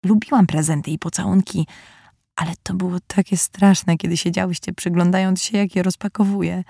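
A woman voicing a shy, gentle thirteen-year-old girl who speaks quietly and softly. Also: fairly low pitch, fairly even intonation, fairly quick, creaky, slightly rough, no echo, clean studio sound. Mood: timid. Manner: quiet and soft-spoken.

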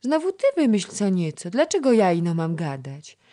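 Lady reading a grumpy little page boy, low and mumbly, short answers. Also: sing-song, moderate pace, mellow. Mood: grudging. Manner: curt, mumbling replies. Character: shy, sulky young page boy.

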